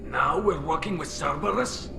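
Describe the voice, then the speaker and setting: A man's voice: fairly high pitch, moderate pace, creaky, reverberant, music in the background. A man playing a suspicious, hot-headed alien soldier in a video game, curt and distrustful. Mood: suspicious, scornful. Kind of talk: video game cutscene acting.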